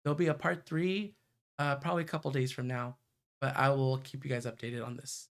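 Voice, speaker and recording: A man's voice: medium pitch, fairly even intonation, brisk, creaky. A man giving casual livestream commentary as a game streamer. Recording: faint game music behind the voice.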